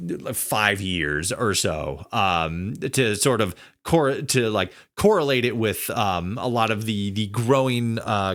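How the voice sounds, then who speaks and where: A man hosting a casual interview, feeling his way haltingly through roundabout questions. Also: on the low side, a bit bright. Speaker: podcast host, interviewer.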